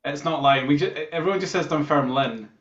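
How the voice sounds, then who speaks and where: A man's voice: a little high, a little fast, over a noisy crowd. A Scottish man chatting casually as an interview guest, with a jokey, tongue-in-cheek manner. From Scotland. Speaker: band musician, guitarist, interview guest. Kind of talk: casual chat. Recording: dull, roomy video-call sound.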